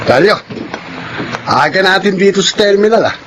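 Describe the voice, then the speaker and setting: A man's voice: high voice, animated, normal speed, music in the background, rough recording. A man playing a jovial drinking buddy and sidekick of a thug in a Filipino television drama, with a Filipino accent.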